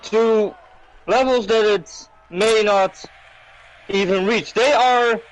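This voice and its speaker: A man's voice: high-pitched, fairly even intonation, slow pace, poor audio. A man doing wrestling color commentary, defensive and trading insults with his partner. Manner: defensive and bickering, trades insults. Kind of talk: heel wrestling commentary banter.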